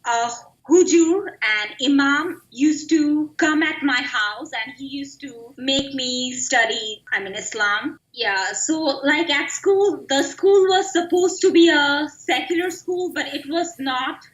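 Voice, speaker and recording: High-voiced woman from Bangladesh, slow. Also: fairly bright tone, roomy sound, lo-fi sound. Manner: frequent "I mean" fillers, halting. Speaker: guest, activist. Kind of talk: personal interview.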